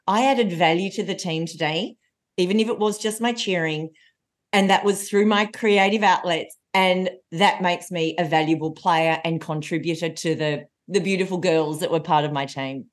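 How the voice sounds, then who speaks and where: A woman, a coach telling stories in a podcast interview with a loud, lively voice. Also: lowish voice, normal speed. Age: 40s. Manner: lively storyteller. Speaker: coach and trainer.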